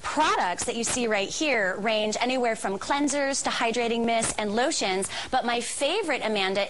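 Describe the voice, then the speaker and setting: A woman's voice: on the high side, noisy background. A woman presenting products as a guest expert on a TV segment, chatty and polished, with an emphatic, enthusiastic delivery.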